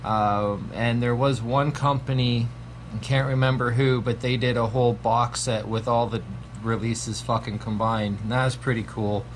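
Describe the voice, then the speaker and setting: A man's voice: medium pitch, steady tone, moderate pace, a little hiss or hum. A man in his thirties giving a casual, profanity-laced horror movie review from a small home room, with lots of ums. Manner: laid-back, swears constantly, frequent ums.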